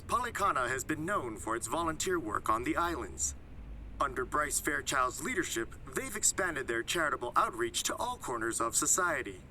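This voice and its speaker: Very bright, polished newsman. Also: medium pitch, far from the mic, slight background noise, poor audio. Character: male TV news reporter, polished and authoritative. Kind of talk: news report narration.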